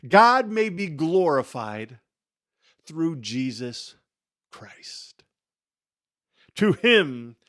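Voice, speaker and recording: Slow, solemn man reading scripture dramatically. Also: high voice. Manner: solemn, theatrical, emphatic phrasing with deliberate pauses. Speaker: Bible reader.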